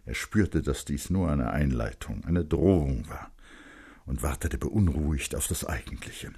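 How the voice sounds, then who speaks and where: A male narrator with a low, husky voice reads literary prose. His delivery is grave and measured, with dramatic intensity in the phrasing. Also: animated, mellow.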